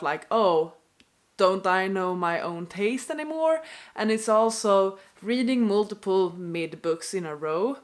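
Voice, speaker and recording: A young woman with a stuffy nose from a cold, chatting casually to camera in an unscripted rant, apologetic and full of "like" fillers. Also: mid-range voice. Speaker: book vlogger.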